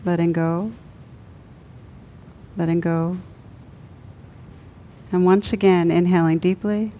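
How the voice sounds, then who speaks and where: Slow, gentle woman guiding meditation. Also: on the low side, steady tone, muffled recording, slight background noise. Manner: gentle, soothing, unhurried with long pauses. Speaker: meditation teacher. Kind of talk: guided meditation.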